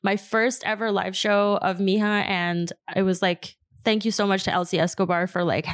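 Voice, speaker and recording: A woman's voice: mid-range voice, fairly even intonation, normal speed, no echo, studio quality. Chatty young woman podcast host from New York. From Queens, New York. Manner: chatty and enthusiastic, heavy use of "like". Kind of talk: casual interview chat.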